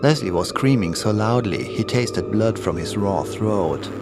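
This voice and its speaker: A man's voice: on the low side, expressive, a little slow, shouting, heavy background noise. A man reading a scripted horror story in a dramatic, ominous way as its narrator. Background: creepy music and sound effects.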